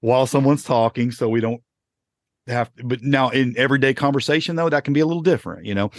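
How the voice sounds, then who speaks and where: A man's voice: medium pitch, fast talker, dry recording, studio quality. A man hosting a podcast in casual chat, rambling and correcting himself, laughing often. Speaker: podcast host.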